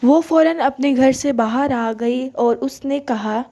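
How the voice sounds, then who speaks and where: Narrator: woman, slightly high, dark mellow tone, children's storytelling. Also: takes it slowly, muffled recording.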